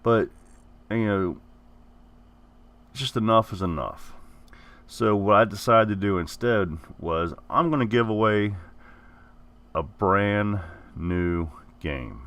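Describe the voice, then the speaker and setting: Man, very low voice, recovering from flu, casual, rambling, self-correcting. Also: takes it slowly, a bit bright. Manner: rambling, repeats and corrects himself. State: hoarse, getting over the flu. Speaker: gaming channel host. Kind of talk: casual giveaway announcement.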